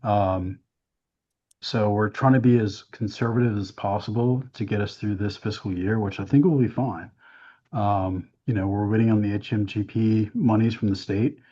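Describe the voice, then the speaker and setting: A man presenting a town budget at a council workshop, hoarse from losing his voice, with a hesitant manner full of "um"s. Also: lowish voice, steady tone, normal speed, warm tone. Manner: hesitant, many "um"s, explains plainly. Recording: dull, muffled meeting audio.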